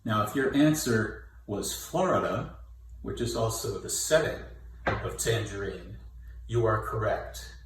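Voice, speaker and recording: A man from New Jersey, a novelist, reading out a trivia question in a deliberate, measured way. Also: on the low side, lively intonation. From Mercer County, New Jersey. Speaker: young adult novelist. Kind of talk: scripted trivia question to camera. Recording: echoey room from far off, faint noise.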